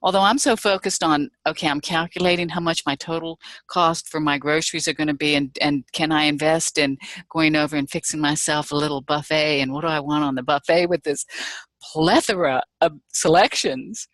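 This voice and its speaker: Woman musing out loud on a group call, lowish voice, dry sound. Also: steady tone, brisk, dry recording. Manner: rambling, reflective. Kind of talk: casual sharing on a group call. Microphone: video call.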